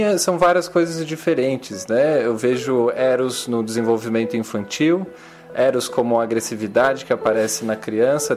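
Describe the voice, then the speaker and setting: A man in his thirties hosts a community radio talk, thinking aloud and restarting phrases as he searches for words. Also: mid-range voice. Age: 30s. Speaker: radio program host. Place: publisher's recording studio. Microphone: handheld recorder.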